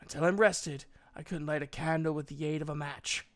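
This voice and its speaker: A man voicing a proud elf mage in a storybook reading, a gruff but kindly mentor with emphatic, old-fashioned speech. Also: on the high side, fairly even intonation, a little fast, close to an everyday voice. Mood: weary, proud.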